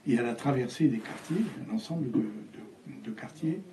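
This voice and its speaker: An older man, originally from Algeria with Spanish as his first language, speaking haltingly with many fillers as an interview guest. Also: mid-range voice, dark tone, echoey room, slight background noise, rough recording. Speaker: architect guest.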